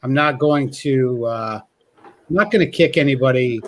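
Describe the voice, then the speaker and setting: A man hosting a live call-in panel stream, casual and teasing, joking about selling courses. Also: moderate pace. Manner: joking, teasing sales pitch.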